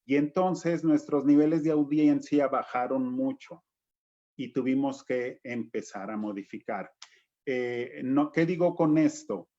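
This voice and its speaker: A man's voice: mid-range voice, warm tone. A man with a Mexican accent talking reflectively and anecdotally on an informal panel, as a cultural director. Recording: dull lo-fi video-call audio.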